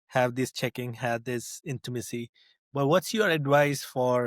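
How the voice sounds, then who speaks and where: A man's voice: medium pitch, no echo. A man co-hosting a podcast who encourages his guest and asks long, rambling questions. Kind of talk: interviewing conversation.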